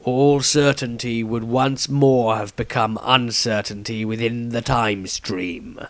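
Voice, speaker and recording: A man voicing an old male wizard patriarch in a storybook, formal, deliberate and sympathetic. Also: mid-range voice, fairly even intonation, fairly bright tone, no room sound. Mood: grave.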